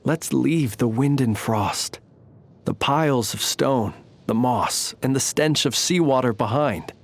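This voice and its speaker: An actor playing a courtly, ancient inhuman gentleman soldier in a video game, speaking in a polite, formal, measured and wryly understated manner. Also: medium pitch. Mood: courteous, reflective. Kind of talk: scripted game character voice lines.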